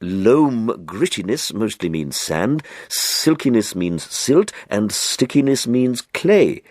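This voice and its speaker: A solid, weathered man, acted by a storyteller, explains his subject with earnest enthusiasm. He lingers on words and his pitch lifts and dips freely. It is a story character in a dry, close recording. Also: sing-song, slow pace, darkish tone, no echo, exaggerated voice.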